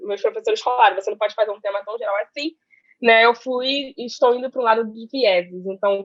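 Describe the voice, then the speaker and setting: A woman's voice: fairly high pitch, no room sound, dull audio. A woman in her twenties speaking on an online panel, chatty, with many fillers, over a video call. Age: 20s. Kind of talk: casual online panel talk.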